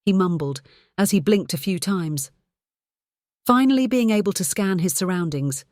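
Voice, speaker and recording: A woman's voice: on the low side, animated, moderate pace, a little mellow, dry recording, studio quality. A woman reading scripted fiction as a dramatic audiobook narrator. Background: music.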